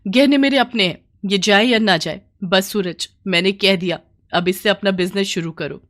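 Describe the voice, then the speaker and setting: A woman voicing a proud, envious young village wife in a bedtime story, sharp, complaining and insistent. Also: medium pitch, moderate pace, natural voice. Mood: angry, demanding.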